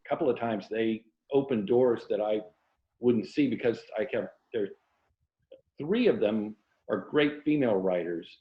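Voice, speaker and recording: A man's voice: fairly low pitch, unhurried. An older man from Florida with an American accent, telling anecdotes casually as an interview guest over a video call. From Tampa, Florida, United States. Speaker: interview guest, writer and former journalist. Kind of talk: casual conversational storytelling. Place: indoor room, remote video call. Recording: roomy, dull video call audio.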